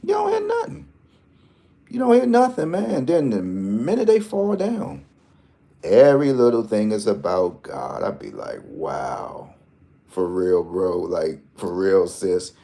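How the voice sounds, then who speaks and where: A low-pitched man talks through lottery numbers in a loose, joking vlog ramble. His voice is deep and mellow, and he jumps from slang-filled asides to number picks. He keeps an animated, chatty tone throughout. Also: slow, vocal fry. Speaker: lottery tips host. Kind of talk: casual rambling vlog talk.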